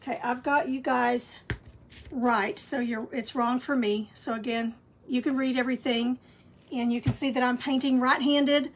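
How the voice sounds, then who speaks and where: A woman's voice: fairly high pitch, steady tone, normal speed. A woman with a scratchy voice, teaching a live craft painting lesson in a chatty, encouraging way. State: scratchy voice, clearing throat. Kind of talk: live-streamed painting tutorial.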